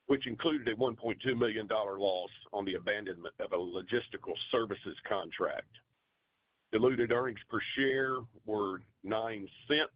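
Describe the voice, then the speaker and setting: Low-voiced businesslike man, dull dry audio. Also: dry recording. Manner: formal, businesslike delivery. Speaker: company finance executive. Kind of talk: scripted financial report on an earnings call.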